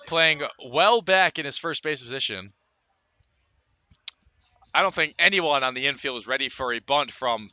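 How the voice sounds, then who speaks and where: A man calls a baseball game live on the radio. His voice is strikingly bright and sharp, sitting a little high for a man. He describes the play in measured phrases, leaving pauses between calls. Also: no room sound. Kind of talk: live sports commentary.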